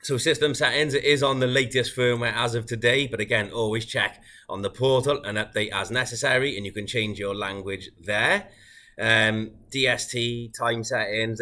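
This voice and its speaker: A man giving an informal, methodical, unscripted software walkthrough as a product demonstrator. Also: medium pitch.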